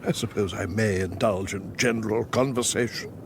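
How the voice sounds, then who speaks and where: An actor playing a dry, stubborn, middle-aged husband with an English accent, bickering defensively in a radio comedy. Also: male voice, fairly low pitch, steady tone, a little hiss or hum, close to an everyday voice. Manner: dry, defensive, deliberate wording.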